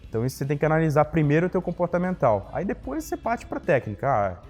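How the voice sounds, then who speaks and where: A man's voice: on the high side, very animated, fast talker, a little mellow, long reverb tail, faint music behind. Casual young man reflecting on his career. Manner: casual, reflective, many fillers like "né". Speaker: guest, economics graduate. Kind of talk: interview answers.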